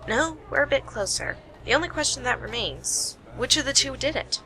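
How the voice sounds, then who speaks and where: A woman's voice: high-pitched, big pitch swings, moderate pace, bright, forceful tone, a little hiss or hum, exaggerated voice, rough recording. A woman playing a keen, analytical amateur detective in an amateur radio play. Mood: thoughtful.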